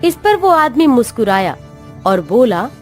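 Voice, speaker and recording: Lively high woman reading a moral story. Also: measured pace, soft background music. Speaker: narrator.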